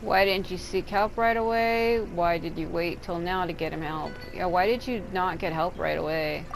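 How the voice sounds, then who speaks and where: A woman comments casually on a video game as she plays. Her voice is on the low side, blunt and reactive, with game music clearly behind her. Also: moderate pace, low-quality recording. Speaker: game streamer. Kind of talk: casual let's-play commentary.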